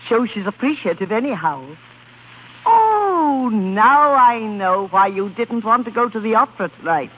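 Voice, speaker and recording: An actress playing a vain, worldly older marchesa in 1930s radio drama style, arch, grand and coquettish. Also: female voice, fairly high pitch, very animated, a little slow, husky, narrow, tinny audio, full character voice. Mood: arch, teasing. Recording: thin, noisy 1930s radio recording.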